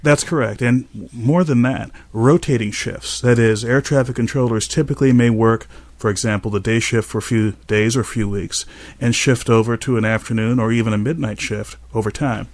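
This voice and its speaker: A man speaking as an expert host in a measured, explanatory way. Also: medium pitch, fairly even intonation, no room sound. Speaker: aviation safety expert and podcast host. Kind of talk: scripted narration and expert interview answers.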